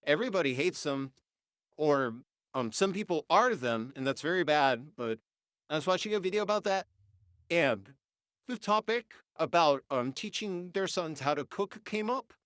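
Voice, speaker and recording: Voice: mid-range voice, lively intonation, normal speed, fairly bright tone, dry recording, studio quality. Nineteen-year-old ranter, stuffy-nosed, AI-filtered voice, heated and cursing. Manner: heated, ranting, swears. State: congested and sniffling. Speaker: vlogger. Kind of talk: unscripted rant.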